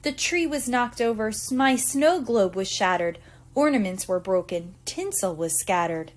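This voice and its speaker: A woman reading a picture book aloud to young children in an expressive, theatrical storytelling manner. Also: mid-range voice. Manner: deliberate, theatrical storytelling with acted emphasis. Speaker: preschool teacher reading aloud.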